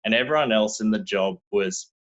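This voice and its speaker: Low-voiced Australian man on a lo-fi line. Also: moderate pace, a bit bright. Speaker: guest. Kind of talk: casual interview talk.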